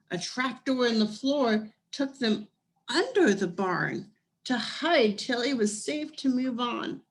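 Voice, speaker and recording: A woman reading a children's picture book aloud as scripted narration, in deliberate, measured phrases with dramatic pauses. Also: a little high, low-quality recording. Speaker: storybook reader.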